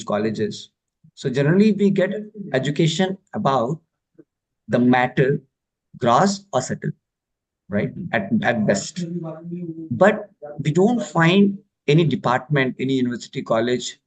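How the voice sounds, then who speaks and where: A man's voice: medium pitch, husky, poor audio. A man from India with an Indian accent, teaching a spiritual lesson over a video call, patient and explanatory, often checking that his listeners follow. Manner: patient, explanatory, many fillers and checks for understanding. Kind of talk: online lecture.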